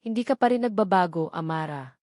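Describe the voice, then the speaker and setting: A woman reading the part of a calm, soft-spoken, wealthy male politician in an audio love story. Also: lowish voice, a little fast, dry recording, studio quality, natural voice. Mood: calm, knowing.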